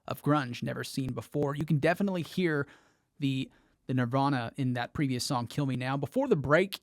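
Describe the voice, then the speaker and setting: A man's voice: on the high side, no room sound, clean studio sound. A man presenting a local music show on the radio as a DJ.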